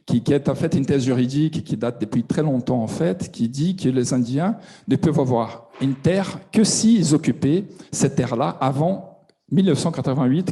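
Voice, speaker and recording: Man, dark hesitant voice. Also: medium pitch. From Brazil. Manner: hesitant, many restarts and fillers. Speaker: audience member asking a question. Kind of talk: spontaneous question from the floor.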